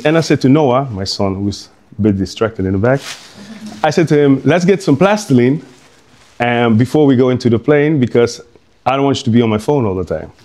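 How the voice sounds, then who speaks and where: A man's voice: mid-range voice, darkish tone. A middle-aged man from Curaçao giving an informal artist talk to an audience, rambling and hesitant, with many fillers and restarts.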